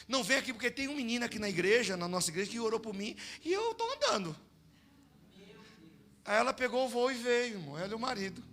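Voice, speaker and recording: A man with a Brazilian Portuguese accent, preaching and telling stories to a church congregation, swinging between shouted exhortation and hushed storytelling. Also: very high-pitched, sing-song, fast. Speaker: pastor. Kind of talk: sermon with storytelling. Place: church service.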